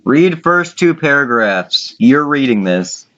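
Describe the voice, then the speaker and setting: A man's voice: mid-range voice, big pitch swings, takes it slowly, fairly bright tone. A man chatting casually and crudely as he hosts a contest, with some swearing. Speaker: contest host. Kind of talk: casual chat, commentary. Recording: dull lo-fi voice chat audio.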